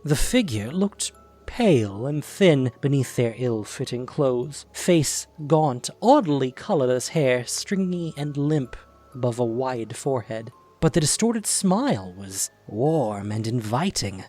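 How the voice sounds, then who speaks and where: A man narrating an audio drama in a deliberate, expressive, dramatic storytelling style. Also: medium pitch, soft background music.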